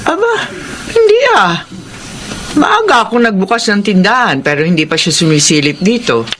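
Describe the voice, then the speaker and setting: Frank, concerned Filipino aunt. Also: female voice, mid-range voice, sing-song, moderate pace, a bit bright, slight background noise. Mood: surprised. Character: concerned, plain-spoken aunt who keeps a rice store. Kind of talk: Filipino radio drama acting.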